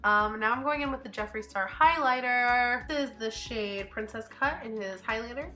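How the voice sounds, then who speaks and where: Stuffy-nosed woman with a bright voice. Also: fairly high pitch, moderate pace. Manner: chatty, upbeat, thinks out loud with fillers. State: stuffy nose from a cold. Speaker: beauty vlogger. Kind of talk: casual makeup tutorial voice-over. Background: faint background music.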